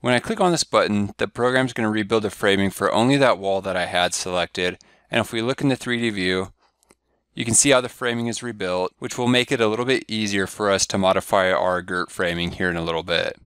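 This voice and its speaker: A man giving a step-by-step software tutorial over a screen recording, walking through each click in a steady, instructive way. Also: fairly low pitch, a little fast, clear, resonant tone, dry recording, clean studio sound. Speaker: software trainer.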